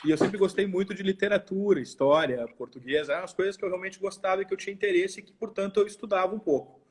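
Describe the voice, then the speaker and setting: A man in his forties with a somewhat high, husky voice and a southern Brazilian accent. He talks casually as an interview guest, thinking aloud and repeating words as he reflects. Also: fast talker. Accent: southern Brazilian, Porto Alegre. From Porto Alegre, southern Brazil. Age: 40s. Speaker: law professor interviewed as guest. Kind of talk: casual interview, recounting own life.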